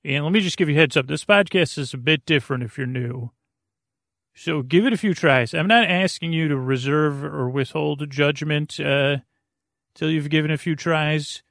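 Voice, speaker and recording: A man with a creaky voice hosting a bedtime podcast, meandering hesitantly, mumbling and trailing off in a goofy way. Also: a little high, moderate pace, fairly bright tone, no echo, clean studio sound. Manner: silly, goofy. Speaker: podcast host. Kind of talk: rambling bedtime podcast monologue.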